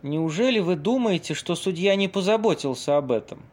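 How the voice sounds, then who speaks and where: A man acts out a worldly, theatrical Venetian seducer for an audio play. His voice sits a little high for a man, and he delivers lines dramatically, with weighty pauses, like a seasoned storyteller. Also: animated, a little mellow. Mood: worldly, dramatic storytelling. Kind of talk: amateur audio play acting.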